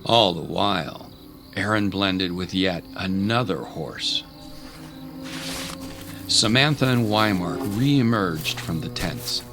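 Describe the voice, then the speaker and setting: Guy narrating an audio drama story, lowish voice, super unhurried, loud music underneath.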